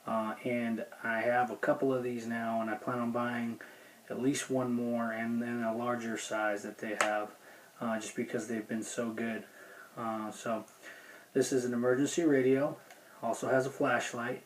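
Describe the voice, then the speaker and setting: Male gear reviewer, casual and folksy, mid-pitched with little inflection, some background noise. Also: little pitch movement, measured pace, rough recording. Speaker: gear review video host. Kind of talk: casual unscripted product review.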